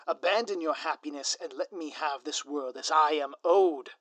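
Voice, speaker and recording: A man's voice: a little high, moderate pace, fairly bright tone, no echo, natural voice. A man playing a grandiose, scheming supervillain in an audio roleplay, with florid, pompous, melodramatic delivery. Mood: gloating. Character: grandiose theatrical male supervillain, lovesick and scheming. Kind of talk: scripted audio roleplay monologue.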